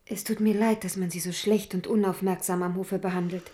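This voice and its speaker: An actress in a radio drama playing a proud, spirited young French princess. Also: female voice, fairly low pitch, steady tone, fairly quick, warm tone, close to an everyday voice. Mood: polite, guarded.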